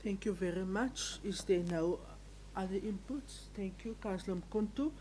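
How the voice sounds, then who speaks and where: A woman's voice: mid-range voice, moderate pace, mellow, slight background noise, low-quality recording. A woman chairing a council meeting, courteous and procedural. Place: council chamber.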